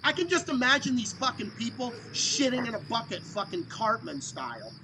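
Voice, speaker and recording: A man hosting a live stream call, ranting loudly and swearing constantly. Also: very high voice, very animated, normal speed, noisy background. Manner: profane, loud ranting.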